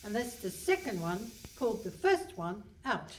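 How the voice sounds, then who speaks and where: A woman's voice: medium pitch, big pitch swings, normal speed, darkish tone, lightly acted. A woman playing a brusque, dry-witted, impatient police detective inspector in a comic radio play. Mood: impatient, sardonic. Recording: live hall stage, distant mic, faint noise.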